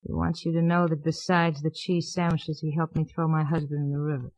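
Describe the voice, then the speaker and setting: An actress playing a bitter, world-weary city widow with a sardonic drawl, in hard-boiled old radio style. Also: female voice, low voice, little pitch movement, brisk, a little mellow, no echo. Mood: weary, defiant. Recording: muffled vintage radio broadcast.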